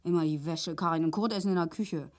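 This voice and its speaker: An actress playing a nagging, put-upon East Berlin wife in middle age, scolding and needling in a grotesque German radio play. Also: female voice, lowish voice, quick pace, darkish tone, natural voice. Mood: reproachful.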